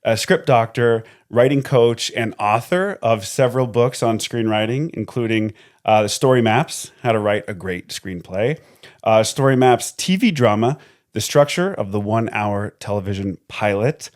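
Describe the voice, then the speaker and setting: A man's voice: fairly low pitch, lively intonation, normal speed. A man hosting an interview podcast, speaking hesitantly with many fillers and restarts. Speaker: podcast host.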